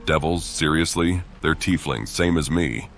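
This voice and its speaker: An actor playing a calm, principled paladin adventurer in a fantasy game, speaking plainly and in a measured way. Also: male voice, very deep voice, faint music behind, heavily acted. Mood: calm, firm.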